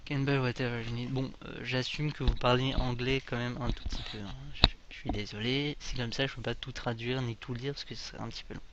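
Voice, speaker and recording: A man's voice: mid-range voice, fairly even intonation, a little fast, few pauses, muffled recording, background noise. A young man casually commenting on a game as he plays, joking and reading the English on-screen text aloud. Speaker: gaming video creator. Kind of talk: casual let's play commentary.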